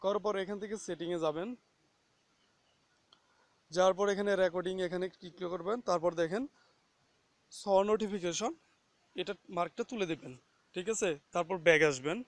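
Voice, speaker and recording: High-pitched man giving a tutorial. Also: fairly quick, long pauses, no echo. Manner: instructional, often checking with "okay?". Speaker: tutorial host. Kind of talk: casual step-by-step phone app screen tutorial.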